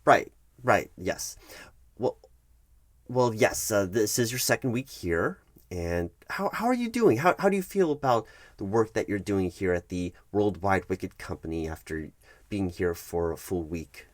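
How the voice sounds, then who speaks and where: A man's voice: fairly low pitch, expressive, unhurried, no echo, studio quality. A man playing a politely evasive, officious HR manager in an ASMR office roleplay, stammering through formal, bureaucratic wording. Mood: polite, awkward. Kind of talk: ASMR roleplay, one-sided office meeting.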